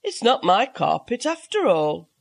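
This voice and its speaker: A woman's voice: medium pitch, big pitch swings, a little slow, gravelly, heavily acted. A woman voicing an aloof, clever male house cat who talks in a cold, indifferent, cutting way. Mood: cool.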